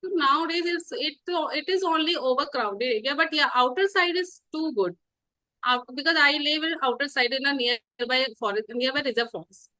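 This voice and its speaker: A North Indian woman with Hindi as her first language talks casually as an interview guest. Her voice is high and bright, recorded dry and close. Her learner's English comes haltingly, with a giggly manner. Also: no room sound, low-quality recording. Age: 30s.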